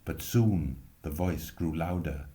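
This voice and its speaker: A man reading a literary story aloud with expressive narration. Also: a bass voice, takes it slowly. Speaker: reader.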